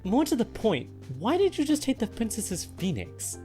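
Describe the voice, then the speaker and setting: A woman's voice: a little high, huge pitch swings, normal speed. A woman voicing a bossy, sarcastic, easily exasperated pony in a cartoon parody, with music behind her. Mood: scolding.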